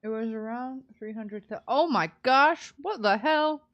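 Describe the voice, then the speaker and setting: A woman's voice: a little high, measured pace, a bit bright, dull audio. A woman livestreaming a game, chatting casually in a playful, giggly, teasing way. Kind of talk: casual chat while playing.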